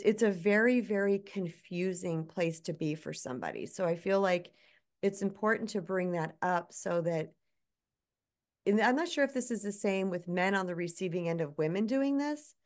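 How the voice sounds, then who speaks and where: A woman co-hosting a podcast, speaking candidly and personally in an animated way. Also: lowish voice. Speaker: podcast co-host. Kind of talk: casual conversational podcast.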